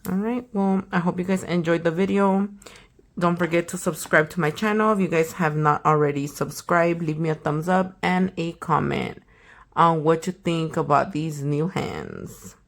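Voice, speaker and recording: A young woman hosting a nail channel, chatting casually and slangily while unboxing, a little hoarse from a sore throat. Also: sits low, normal speed. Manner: chatty, slangy, trailing off to a whisper. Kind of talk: casual product unboxing chat.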